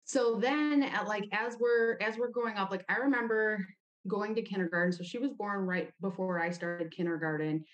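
A woman's voice: mid-range voice, moderate pace, dull audio. A woman from Ohio tells personal stories as an interview guest, informally, often saying "like". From United States, grew up in Ohio. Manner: informal, frequent "like" as a verbal habit. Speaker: podcast guest. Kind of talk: conversational personal storytelling in an interview.

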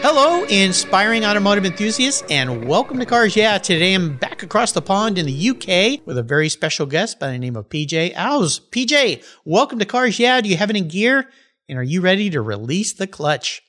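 A man's voice: high-pitched, sing-song, normal speed, sharp tone. A man hosting a car podcast, talking with enthusiasm and car puns. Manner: enthusiastic, punny car talk. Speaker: podcast host. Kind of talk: upbeat interview and ad reads.

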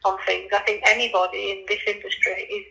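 A man's voice: high voice, level tone, brisk, vocal fry, pushed and bright, a little hiss or hum, poor audio. A man from Leeds with a Leeds accent, a tofu business owner chatting casually in an interview, repeating words and restarting often. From Leeds, England.

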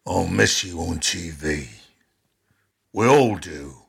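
Guy playing big sweaty thug in an audio drama, really deep and gravelly, fake friendly but threatening. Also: animated, a bit bright, exaggerated voice. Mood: quietly menacing. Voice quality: gravelly, husky low voice. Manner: slow, deliberate, mock-affectionate menace. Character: huge sweaty male thug, menacingly friendly, slow and threatening. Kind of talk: dramatised audio story dialogue.

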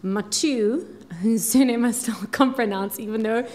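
A woman's voice: on the high side, measured pace, a little mellow. A woman hosting a podcast, chatting casually, bubbly and rambling, laughing often. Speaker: podcast host. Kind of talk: casual chat.

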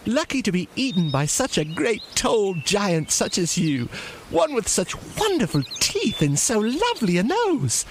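A man's voice: high voice, big pitch swings, unhurried, noisy background. A man voicing a cuddly little bear in a children's fairy tale, polite, innocent and gushing. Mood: flattering.

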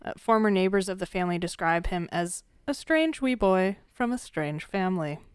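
A playful woman co-hosts a true-crime podcast, chatting and joking as she leads the case narration. Her voice is smooth and resonant. It is recorded close and dry. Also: mid-range voice, animated, normal speed, dry recording. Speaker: podcast co-host. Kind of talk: casual true-crime chat.

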